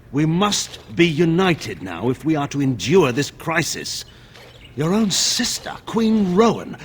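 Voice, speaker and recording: A haughty fantasy game general, swings his pitch a lot, bit high for a man, faint ambience behind. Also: fairly bright tone, slight background noise, heavily acted. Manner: imperious, formal oratory. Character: stern, commanding male regent and general, scornful. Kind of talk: dramatic fantasy game acting.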